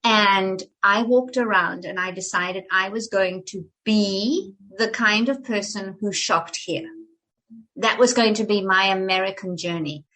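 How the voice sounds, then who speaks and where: A woman's voice: mid-range voice, a little slow, a bit bright, rough recording. An older woman from South Africa, with a polished, English-sounding South African accent, talking animatedly as a guest in an interview, theatrical and full of restarts. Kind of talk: conversational interview.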